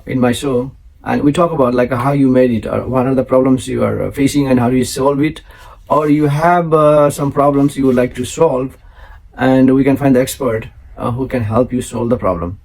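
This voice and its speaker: Gravelly-voiced man hosting. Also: medium pitch, fairly even intonation, a little mellow, low-quality recording. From South Asia. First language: Maithili. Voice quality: gravelly, husky. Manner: halting, many fillers and restarts. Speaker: host. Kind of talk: solo show introduction.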